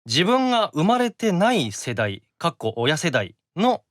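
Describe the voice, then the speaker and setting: A man's voice: a little high, very animated, unhurried, dry recording, clean studio sound. A man chatting casually as a podcast guest, agreeable and full of short interjections, sometimes reading out listener messages.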